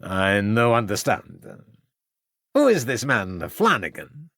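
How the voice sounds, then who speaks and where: A man's voice: fairly low pitch, steady tone, slow, vocal fry, fairly bright tone, clear, resonant tone, no echo, clean studio sound, full character voice. A man voicing an anxious foreign street violinist who speaks in broken English and refers to himself by name. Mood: bewildered. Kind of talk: audiobook character voice.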